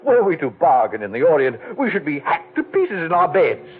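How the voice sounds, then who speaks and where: An actor playing a fat, scheming older gentleman villain, pompous and unctuous, in a 1940s radio drama on a tinny old broadcast. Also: fairly high pitch, wildly swooping pitch, fairly quick.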